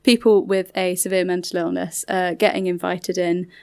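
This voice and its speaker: Clear, smooth-voiced woman explaining on radio. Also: fairly low pitch, little pitch movement, normal speed, a little mellow. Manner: articulate, informative. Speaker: mental health charity representative, radio guest. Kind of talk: explanatory radio interview talk.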